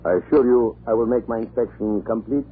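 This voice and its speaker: An actor playing a formal, self-assured government inspector of the Tsar in a 1940s radio drama, cool and drily amused. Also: male voice, mid-range voice, fairly even intonation, brisk, slightly rough. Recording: muffled old radio broadcast.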